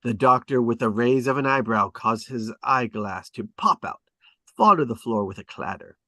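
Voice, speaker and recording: A man's voice: mid-range voice, expressive, normal speed, sharp tone, dry recording. A man reading stage directions at a drama table read, with emphatic, clipped and dramatic phrasing.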